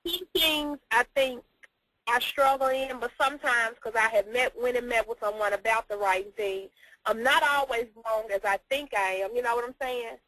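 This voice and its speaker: A woman student speaks informally in a slightly high, dry-sounding voice. She is unsure and apologetic, circling around her questions as she asks for help. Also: normal speed, sharp tone, dry recording, rough recording. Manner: hesitant, unsure, apologetic, rambling. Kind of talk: informal question on a class call.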